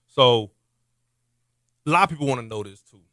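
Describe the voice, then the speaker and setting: Chatty, bright-voiced young male host. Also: mid-range voice, lively intonation, moderate pace, no room sound, clean studio sound. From Missouri City, Texas. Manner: loose, chatty, often loud and enthusiastic. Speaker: podcast host. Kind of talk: casual interview talk. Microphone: podcast studio mic.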